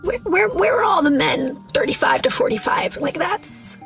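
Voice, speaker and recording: A woman's voice: very high voice, very animated, moderate pace, gravelly, a bit bright, soft background music. A woman in her early forties talking casually and confessionally, with a wry, exasperated, emphatic manner. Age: early 40s. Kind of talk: casual confessional monologue to camera.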